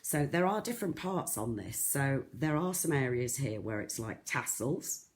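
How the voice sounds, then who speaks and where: A croaky, soft-voiced presenter, chatting through a live beading tutorial with lots of ums and restarts. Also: medium pitch, big pitch swings, moderate pace. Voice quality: soft, not loud. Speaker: craft shop tutor.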